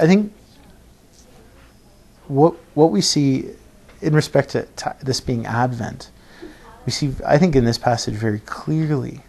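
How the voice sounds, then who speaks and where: A man with a gravelly, creaky voice teaches scripture in an informal sermon. His pitch sits in a middle range for a man. He works through his thoughts haltingly, pausing to rephrase and often tagging points with a questioning check. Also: darkish tone. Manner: halting, thinking aloud, often adds "right?" to check. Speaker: preacher teaching a Bible passage.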